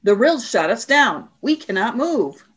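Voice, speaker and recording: A woman's voice: fairly high pitch, very animated, a little slow, fairly bright tone, husky, heavily acted. A woman playing a cold, imperious alien warrior commander in a radio play, speaking in a stern, formal, commanding way. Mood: cold, severe.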